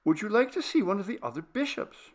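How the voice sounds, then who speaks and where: A man's voice: sits high, big pitch swings, fast talker, pitched above the performer's own voice. A man voicing a grave, polite, eerily composed child in a ghost story reading. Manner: polite, grave, matter-of-fact.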